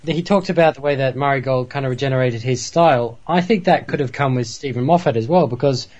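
A man's voice: mid-range voice, fast talker, a little mellow. A man co-hosting a casual podcast, thoughtful and given to pedantic corrections. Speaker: podcast co-host. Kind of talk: casual chat about a TV show. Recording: lo-fi sound.

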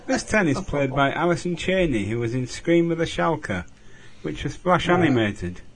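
Lively-voiced man with punning asides. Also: on the high side, normal speed. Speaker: listener sending an audio message. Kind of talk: recorded voice message, jokey trivia. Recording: noisy home recording.

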